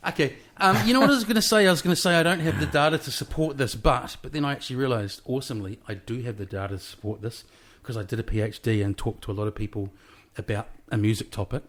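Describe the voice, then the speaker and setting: A man in his forties hosting a podcast, chatty and quick to jump in with questions. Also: medium pitch, a bit bright. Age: 40s. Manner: chatty, laughs often, jumps in with questions. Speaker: podcast host. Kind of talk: casual interview chat.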